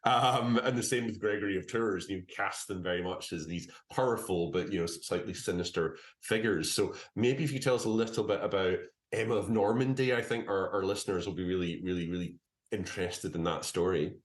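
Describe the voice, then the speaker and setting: Halting man with a deep voice. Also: roomy sound. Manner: halting, many repeated words and restarts. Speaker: podcast host. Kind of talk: interview questions.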